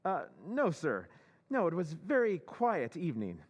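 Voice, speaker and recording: A man's voice: on the high side, huge pitch swings, measured pace, heavily acted. An actor playing a courteous, deferential male robot double of a husband in an old-time radio drama recreation.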